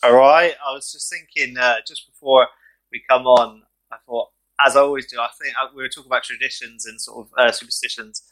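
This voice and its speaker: Bright-voiced joking co-host man. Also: mid-range voice, a little fast, rough recording. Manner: jokey, animated storyteller. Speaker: co-host, mental health support worker. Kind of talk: casual podcast chat.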